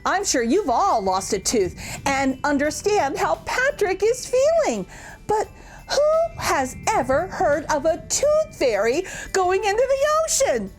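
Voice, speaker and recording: A woman's voice: extremely high pitch, sing-song, unhurried, soft background music. A woman reading a picture book aloud to children as a storytime host, exclamatory and asking her young listeners questions.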